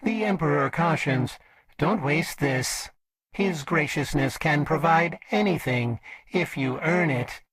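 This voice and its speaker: Slow, formal robot servant. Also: male voice, a little high, background noise. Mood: formal, devout. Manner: polite, formal, measured, servile. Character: courteous robot servant of a decadent exiled emperor. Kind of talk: scripted game character lines.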